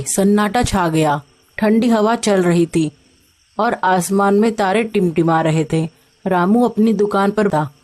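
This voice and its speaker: Woman storyteller reading a scripted tale, medium pitched voice. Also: darkish tone. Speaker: narrator.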